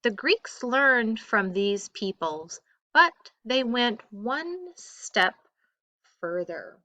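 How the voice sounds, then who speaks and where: Slow, bright-voiced woman reading aloud. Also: a little high, sing-song. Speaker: teacher reading a textbook aloud. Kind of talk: read-aloud narration of a school textbook.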